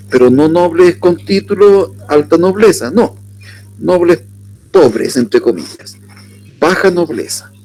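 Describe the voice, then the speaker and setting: A man from southern Chile with a Chilean accent, giving an unhurried workshop lecture and asking his audience questions. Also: fairly high pitch, a little mellow, poor audio. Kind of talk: lecture on surnames.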